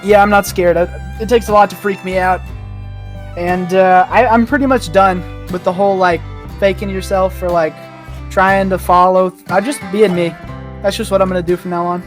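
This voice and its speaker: A young adult man chatting casually as a podcast guest, with a joking, animated manner. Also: sits high, moderate pace, faint music behind. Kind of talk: casual chat.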